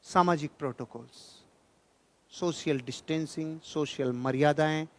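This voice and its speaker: A man's voice: fairly high pitch, unhurried. A man giving a motivational speech in an emphatic, admonishing manner, repeating phrases for effect. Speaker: motivational speaker. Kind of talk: motivational speech, preaching to an audience.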